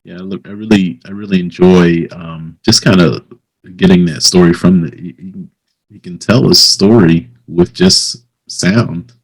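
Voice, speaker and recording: A man hosting a casual interview, talking haltingly as he searches for words. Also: deep voice, steady tone, slightly rough, rough recording. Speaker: interviewer, host.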